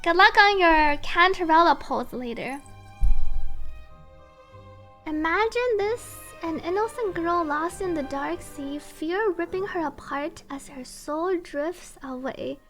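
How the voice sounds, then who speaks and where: A woman game streamer chatting casually with viewers and reading story text aloud in a playful, expressive, teasing way. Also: extremely high pitch, measured pace, faint music behind.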